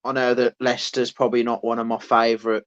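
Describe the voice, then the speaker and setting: A man co-hosts a casual podcast, telling long, rambling stories full of restarts. His mid-pitched voice stays flat and even, with little rise and fall. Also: smooth, highs cut off. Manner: rambling, many restarts, unhurried. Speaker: podcast co-host. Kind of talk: casual chat.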